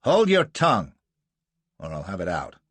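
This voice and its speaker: A man reading aloud and voicing a gruff, blustering American colonel with a barking, bullying manner. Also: lowish voice, lively intonation, normal speed, dry recording. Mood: scornful.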